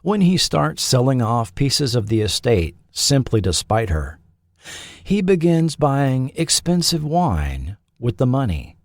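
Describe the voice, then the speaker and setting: Slow, measured male documentary narrator. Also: mid-range voice, very animated, dry recording, studio quality. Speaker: podcast host and narrator.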